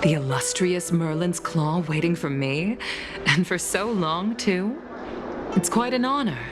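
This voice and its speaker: A woman playing a composed, mature official and former pilot in a video game, speaking in a measured, formal and courteous way. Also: lowish voice, natural voice. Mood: courteous, nostalgic. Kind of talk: scripted video game voice acting. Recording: music behind the voice.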